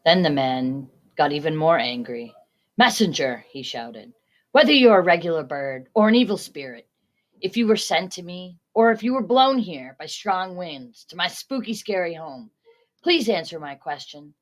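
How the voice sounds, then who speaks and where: A woman's voice: on the low side, very animated, normal speed. A young woman, a student, reading a scripted story retelling aloud, quoted dialogue included. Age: teens or young adult. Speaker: student reader.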